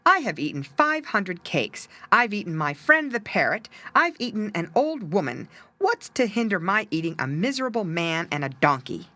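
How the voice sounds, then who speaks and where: A fat, gluttonous tomcat from a storybook, played by a woman storyteller, boasts and threatens with relish. The words are stretched out and swing widely in pitch, with a bright, forceful edge. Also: very animated. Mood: scornful. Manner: boastful, drawn-out, menacing. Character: mean, greedy, boastful male cat, fat and gluttonous.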